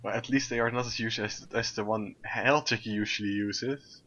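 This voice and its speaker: Dutch-accented man, bright voice, hesitant game chat, dull lo-fi mic. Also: on the low side, fairly even intonation, moderate pace. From Netherlands. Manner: hesitant, with restarts. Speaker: game streamer. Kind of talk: casual chat while playing a game. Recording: dull lo-fi mic with faint hiss.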